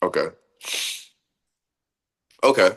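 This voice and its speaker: A man with a stuffy, nasal voice from a cold, talking casually in an interview and then performing spoken-word poetry with rhythmic delivery. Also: drawn-out words. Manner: expressive, laughs often, rhythmic poetic delivery. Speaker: poet guest.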